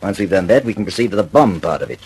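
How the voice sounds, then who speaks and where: A man's voice: sits low, lively intonation, racing, darkish tone, husky, exaggerated voice. A man playing an authoritative, supportive senior British government official in a radio drama, decisive and methodical. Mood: encouraging, decisive. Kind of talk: mid-century British radio drama acting.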